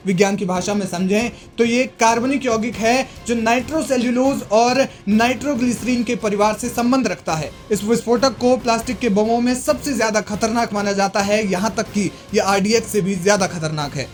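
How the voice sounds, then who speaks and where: A man presenting scripted news narration in an emphatic, explanatory way. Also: high-pitched. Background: background music.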